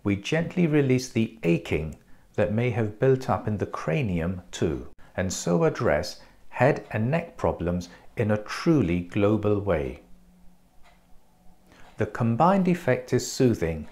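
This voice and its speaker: A man in his forties presenting a clinic's treatment in a measured, explanatory scripted voice, recorded on a lapel mic in a bright treatment room. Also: fairly low pitch, very animated. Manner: measured, explanatory, pointing things out.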